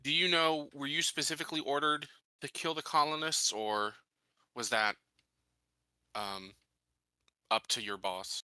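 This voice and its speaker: A man's voice: a little high, measured pace, long pauses, pushed and bright, smooth, no echo. A man playing a tabletop roleplaying game, moving between casual talk and in-character questioning.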